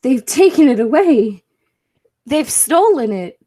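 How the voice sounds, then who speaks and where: A woman's voice: high voice, very animated, slow pace, dark tone, exaggerated voice. A woman playing a proud, driven inventor and time traveler in a 1940s-style radio play, speaking in formal, theatrical phrases. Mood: alarmed, urgent.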